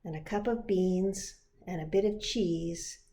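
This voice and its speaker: A woman pastor reading a children's picture book aloud in an expressive storytelling style. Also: fairly low pitch, measured pace, gravelly, a little hiss or hum.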